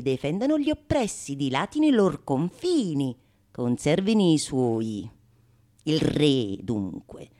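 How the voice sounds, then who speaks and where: An actress playing a shrewd, mocking courtesan in a theatrical radio monologue, declamatory and sardonic. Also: female voice, lowish voice. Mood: scornful, declamatory. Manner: declamatory, sardonic, swinging from sung refrains to hushed asides. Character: shrewd, mocking, calculating courtesan scorning her besotted suitor. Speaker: stage actress performing solo.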